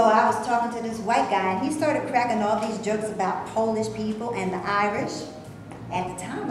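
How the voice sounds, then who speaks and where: A woman's voice: on the high side, close to an everyday voice. An actress in a staged reading, playing a skeptical, practical woman who talks in a doubtful, wry way. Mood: thoughtful. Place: theater before a live audience. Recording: distant voice in echoey room, faint noise.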